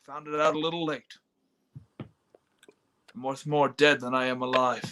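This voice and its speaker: A man's voice: medium pitch, steady tone, moderate pace, bright, forceful tone, close to an everyday voice. A man playing a despairing, folksy everyman who stammers, in a live reading of an old-time radio play. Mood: bitter, despairing. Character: despairing, stammering everyman wishing he was never born.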